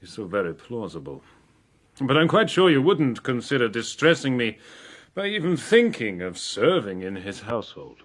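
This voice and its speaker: An actor playing a suave, courtly prince in a radio drama, formal and graciously authoritative. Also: male voice, mid-range voice, big pitch swings, normal speed. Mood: smooth, firm.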